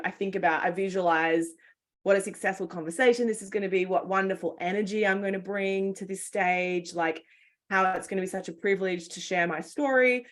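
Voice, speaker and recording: A woman hosting a webinar, native English speaker, talking in a casual, chatty way with frequent fillers. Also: mid-range voice. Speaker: webinar host.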